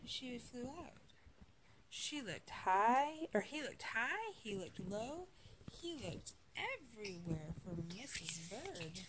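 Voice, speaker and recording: Expressive, slow-reading woman with lively pitch. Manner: slow, expressive, acting out the dialogue. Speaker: mother reading a bedtime story to a child. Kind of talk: read-aloud children's storybook narration. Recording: noisy home recording with clicks.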